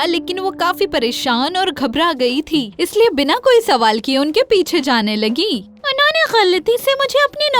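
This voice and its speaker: A woman narrating a scripted children's fairy tale in an expressive storytelling manner. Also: high-pitched.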